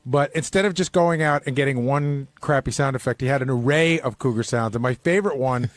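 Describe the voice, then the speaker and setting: A man's voice: medium pitch, a little fast, dry recording. A man chatting casually as a regular on a radio show. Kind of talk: casual radio wrap-up banter.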